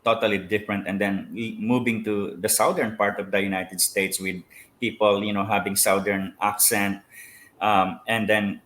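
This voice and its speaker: A middle-aged man with a Filipino accent, a pastor answering interview questions in halting speech with many fillers and restarts. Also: on the low side, level tone, a bit bright, slight background noise. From Philippines. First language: Filipino language. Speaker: pastor, guest.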